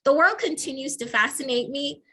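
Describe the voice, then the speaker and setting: A woman's voice: high voice, expressive, normal speed. A woman with a Nigerian accent, a faculty member, talking over a video call as an interview guest and telling stories with frequent laughs. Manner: warm storytelling with frequent laughs.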